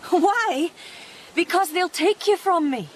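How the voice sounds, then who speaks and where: A determined mother in a radio drama, sardonic and protective. Her voice is high and commanding, over clearly noisy outdoor ambience. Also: animated, slow pace, full character voice. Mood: wry, wistful. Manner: wry, urgent, commanding.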